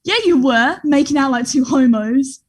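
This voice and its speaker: A woman's voice: high-pitched, moderate pace, natural voice. A woman reading the part of a brash, mocking young male bully in a play table read. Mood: mocking, accusing.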